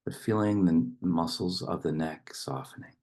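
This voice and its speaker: A man reading poetry and leading a guided meditation in a gentle, unhurried manner with long pauses. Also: sits low, slightly rough.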